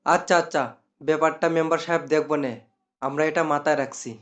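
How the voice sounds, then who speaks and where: A man's voice: a little high, fairly even intonation, fast, a bit bright, close to an everyday voice. A man playing an officious, talkative assistant to a village councilman in a cartoon. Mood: grudging. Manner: argumentative, talkative. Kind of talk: cartoon dialogue.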